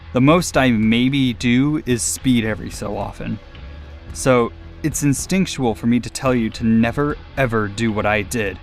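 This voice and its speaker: A man tells a personal scary story in a scripted, dramatic narration. His pitch is average for a man, and music plays beneath his voice.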